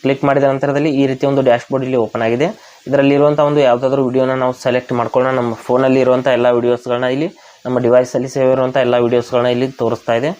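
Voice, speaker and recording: A man's voice: medium pitch, flat delivery, rapid-fire, a little mellow. A man from Karnataka with an Indian accent, hosting a step-by-step app tutorial. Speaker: tech tutorial host. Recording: dull, lo-fi voice recording.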